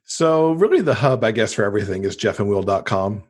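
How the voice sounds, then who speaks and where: A man's voice: lowish voice, expressive, fairly quick. An American man chatting casually and enthusiastically as an interview guest recommending books. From United States. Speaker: podcast guest, book lover.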